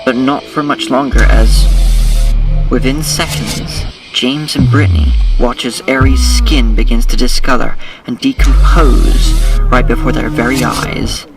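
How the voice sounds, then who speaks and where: A man's voice: mid-range voice, measured pace, far from the mic, over loud music. A man giving scripted, dramatic narration as the narrator of an audio drama.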